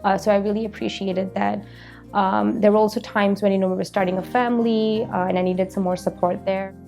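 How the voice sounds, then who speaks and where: A woman's voice: mid-range voice, level tone, a little fast, vocal fry, darkish tone, soft background music. A woman in her thirties from Malaysia, a company founder speaking reflectively in a documentary interview, with frequent "you know" fillers.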